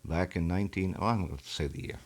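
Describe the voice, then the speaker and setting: A middle-aged man giving a casual radio interview as a station manager and talk host, speaking in a measured, reflective way and feeling for his phrases. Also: low-pitched. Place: small radio station studio.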